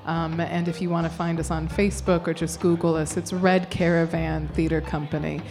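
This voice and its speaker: A woman's voice: low voice, little pitch movement, normal speed, creaky, a little mellow. A young woman, an actor guest, talking casually in a radio interview and laughing often. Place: storefront music bar.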